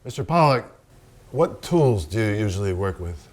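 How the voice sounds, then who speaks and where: A man acting in a staged reading as a solemn narrator and interviewer, with formal, deliberate, pausing phrases. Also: fairly low pitch, sing-song. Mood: polite, probing. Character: measured, solemn male narrator and polite interviewer. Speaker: stage actor.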